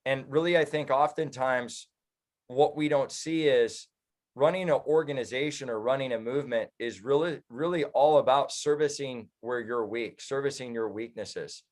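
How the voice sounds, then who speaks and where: A man's voice: mid-range voice, moderate pace. A man with an American accent giving conversational political commentary as an interview guest, in an earnest, speechifying manner. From United States. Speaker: political activist and professional speaker, interview guest.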